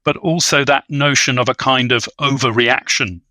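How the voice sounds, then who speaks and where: Bright-voiced man, halting and scholarly. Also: medium pitch, dry recording. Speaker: guest film commentator. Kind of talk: thoughtful film discussion.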